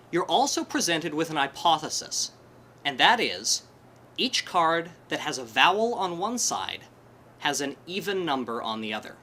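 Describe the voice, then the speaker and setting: A man presenting to a room, wry and self-deprecating. His voice is fairly high and bright, swinging widely in pitch, with a faint hiss underneath. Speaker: presenter at a skeptics' meetup. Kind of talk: conference talk. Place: presentation room, live audience.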